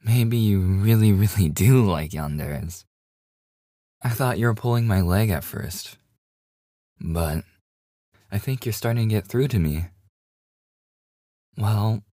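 A man's voice: fairly low pitch, takes it slowly, long pauses, warm tone, no room sound, clean studio sound. A man playing a devoted, slightly obsessive boyfriend in an intimate ASMR audio roleplay, with a hesitant, stammering manner. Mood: teasing. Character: devoted, nervously joking boyfriend hiding obsessive yandere streak. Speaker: voice actor.

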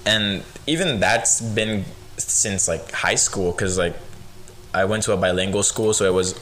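A young man hosting a podcast, a native Spanish speaker with a light Spanish accent, chatting in a relaxed, rambling way with lots of fillers. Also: on the low side, unhurried. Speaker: podcast host. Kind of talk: casual chat.

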